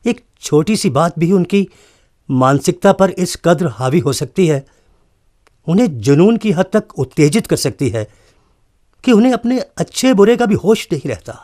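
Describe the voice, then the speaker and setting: An actor playing an earnest, reproachful college principal in a radio drama, questioning emphatically with deliberate pauses. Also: male voice, fairly high pitch, animated, a little mellow, natural voice. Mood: earnest, conciliatory.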